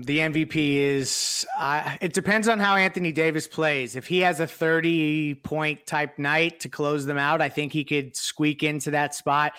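A man's voice: fairly high pitch, fairly bright tone, smooth. A man giving casual sports analysis as a panelist on a talk show.

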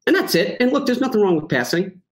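Man, podcast guest, casual, rambling, fillers, slightly high voice. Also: very animated, fast talker. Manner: rambling, lots of fillers and restarts, self-joking. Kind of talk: casual chat.

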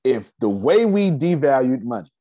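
A man in his forties giving a casual, energetic finance seminar talk, asking rhetorical questions and answering them himself. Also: mid-range voice, moderate pace, dry recording. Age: 40s. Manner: loose, energetic, repeats key points. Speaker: finance speaker.

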